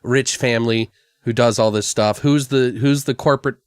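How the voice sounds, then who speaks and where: A man's voice: medium pitch, fairly even intonation, normal speed, clean tone, no room sound, studio quality. A man co-hosting a podcast in casual comedic brainstorming, rambling and joking crudely. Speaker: podcast co-host.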